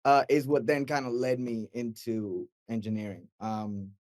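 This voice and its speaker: A young man from Mexico, a guest mix engineer, telling his story in a relaxed, animated way, full of fillers and acted-out quotes. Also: mid-range voice, measured pace, dry recording, studio quality. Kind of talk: casual interview chat.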